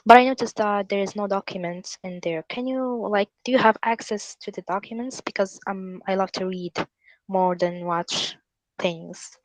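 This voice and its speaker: A woman in a casual group video call, asking earnest questions in a slightly halting way. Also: mid-range voice, dry recording, rough recording. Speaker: community member asking for advice.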